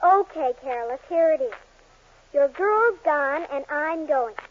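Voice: sits high, animated, a little slow, slight background noise. An actor voicing a brash, wisecracking Cupid in a 1940s radio commercial, slangy and brusque. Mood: flip, brusque. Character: brash, wisecracking, dismissive Cupid in a toothpaste skit. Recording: muffled 1940s radio recording.

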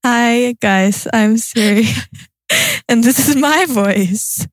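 A woman's voice: fairly high pitch, slow, dry recording, clean studio sound. A young woman with a Norwegian accent, chatting as a podcast co-host in an informal, sweary, self-deprecating way. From Norway. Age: young adult. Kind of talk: casual podcast chat.

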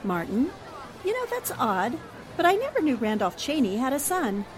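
An actress playing a polite, self-possessed and inquisitive newspaper reporter in a 1940s radio drama style. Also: female voice, high-pitched, wildly swooping pitch, normal speed, poor audio. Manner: polite, crisply questioning. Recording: music and crowd murmur behind the voice.

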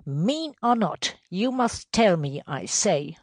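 A woman voicing a domineering, vindictive male estate superintendent in a storybook reading, questioning loudly and emphatically. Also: lowish voice, expressive, takes it slowly, no room sound, clean studio sound. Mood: demanding, mocking. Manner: loud, emphatic interrogation.

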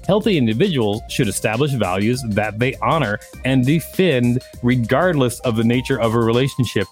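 Male podcast co-host with a mid-range voice, reading articles aloud, light music in the background. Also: medium pitch. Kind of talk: reading an encyclopedia page aloud with casual commentary.

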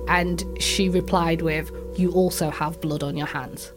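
A young woman with a Yorkshire accent tells a personal story in a fairly low voice. Music plays clearly behind her. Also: steady tone, measured pace, rough recording. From Yorkshire, England.

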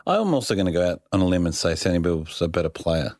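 Male co-host with a very deep voice and a dry close sound, chatty, quick to agree and tease. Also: big pitch swings, darkish tone, no echo. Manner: frequent short agreeing interjections, teasing. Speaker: podcast co-host. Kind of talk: casual sports chat.

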